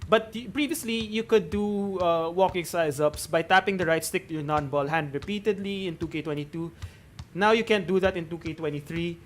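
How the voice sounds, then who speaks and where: A man in his thirties with a Filipino accent, talking through a game tutorial and chatting with viewers on a live stream, in a relaxed, chatty way with many fillers. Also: sits high, fairly quick, slight background noise. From Philippines. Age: 30s. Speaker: gaming streamer.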